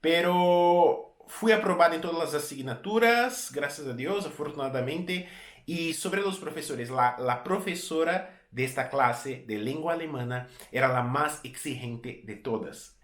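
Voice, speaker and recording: A man's voice: on the high side, measured pace, reverberant. A Brazilian man in his thirties, a native Portuguese speaker with a Brazilian accent, casually telling a story to camera as a travel vlogger and often correcting himself mid-sentence. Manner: relaxed, repeats and corrects words mid-sentence.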